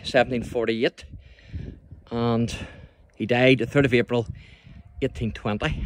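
A man with a Northern Irish accent giving a chatty, informal walking tour as a local history guide, speaking outdoors into a handheld phone. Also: mid-range voice. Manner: chatty, addresses the viewer with tag questions. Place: outdoors in a churchyard by the sea. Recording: outdoor phone recording with background noise.

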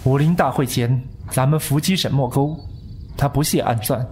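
A man playing a composed, courteous martial-arts lord in an audio drama, measured and scheming. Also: mid-range voice, a little mellow, a little hiss or hum, lightly acted. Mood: reflective. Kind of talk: Mandarin audio drama acting. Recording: background music under voice.

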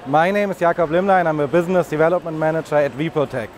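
A man's voice: on the high side, racing. Man demoing a machine in a noisy hall. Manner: explanatory, walks and points while talking. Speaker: business development manager. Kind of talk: product demonstration. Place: large busy trade fair hall. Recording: noisy trade fair hall.

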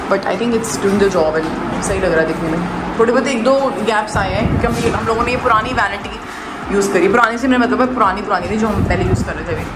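A woman's voice: mid-range voice, fast talker. Lady vlogging in her salon, chatty, bits of english, really noisy background. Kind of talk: casual vlog chat, mixing English phrases. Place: indoor salon rooms being set up. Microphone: camera held at arm's length. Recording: loud room noise, handheld camera audio. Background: loud ambient room noise.

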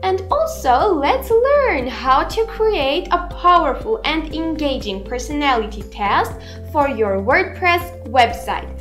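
A woman in her twenties reading a scripted software tutorial in a deliberate, presentational way. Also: high-pitched, sing-song. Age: 20s. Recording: roomy echo, music behind. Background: background music.

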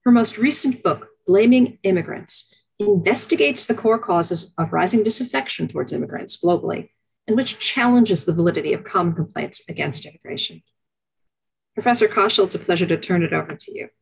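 Middle-aged woman dean, slightly husky tone, measured scripted reading on a video call. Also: fairly low pitch, animated, rough recording. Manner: measured, formal, reads from notes. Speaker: dean introducing the lecture. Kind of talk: scripted formal introduction.

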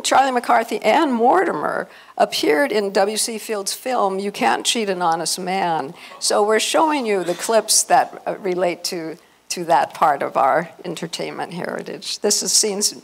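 Female event presenter, informal, mid-pitched with noticeable creak, handheld microphone. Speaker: convention presenter. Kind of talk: informal introduction to an audience. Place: hotel conference room.

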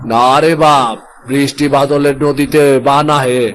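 A man's voice: mid-range voice, steady tone, slow pace, a bit bright, slight background noise. A man voicing a poor, worried fisherman father in a cartoon, with a rural Bangla dialect and an earthy, fretful manner. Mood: worried, fatherly. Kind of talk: cartoon voice acting.

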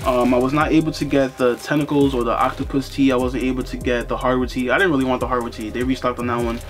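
A young man in a casual unboxing vlog, talking in a relaxed, chatty way with lots of fillers, seated in a small room in front of the camera. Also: medium pitch, quick pace, faint music behind. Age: young adult. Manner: laid-back, chatty, lots of "you know" fillers. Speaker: sneaker and streetwear vlogger. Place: bedroom with sneaker boxes, indoors.

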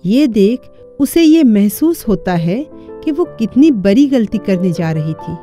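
A woman's voice: mid-range voice, very animated, slow pace, warm tone, soft background music. A woman giving scripted narration for a cartoon moral story.

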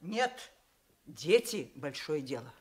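Very lively, insistent village woman. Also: deep voice, takes it slowly, breathy edge, full character voice. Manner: emphatic, lively, folksy. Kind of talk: Russian radio drama acting.

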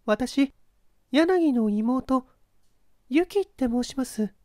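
A man voicing a lonely, shy young woman in a storybook reading, speaking softly and politely. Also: very high voice, animated, very slow, no echo, studio quality, voice pushed up, exaggerated voice. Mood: timid. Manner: timid, polite, whispering softly.

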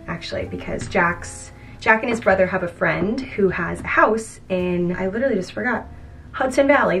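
A woman's voice: mid-range voice, fairly bright tone, poor audio. A young adult woman talking casually and informally to her vlog audience in slangy everyday speech. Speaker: lifestyle vlogger. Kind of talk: casual chatty vlog talk. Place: indoors at home and in a house.

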